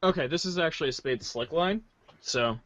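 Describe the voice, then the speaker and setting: A man with a high voice reads a script theatrically. His pitch swings widely, though his pace is slow. The podcast sound is slightly muffled. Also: animated, fairly bright tone. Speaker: podcast reader. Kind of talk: theatrical read-aloud of a webcomic script.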